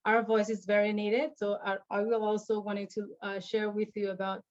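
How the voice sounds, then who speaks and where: A woman from tells her own story as a panel guest, speaking English learned as an adult. Her delivery is earnest and halting, full of restarts. The video call audio sounds dull and lo-fi. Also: mid-range voice, level tone. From Burma. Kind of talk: personal story at an online panel.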